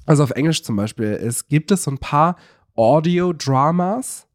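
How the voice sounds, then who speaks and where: A man's voice: fairly high pitch, expressive, normal speed, dry recording, clean studio sound. A man co-hosting a podcast in casual chat, rambling with lots of fillers and self-corrections. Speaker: podcast co-host.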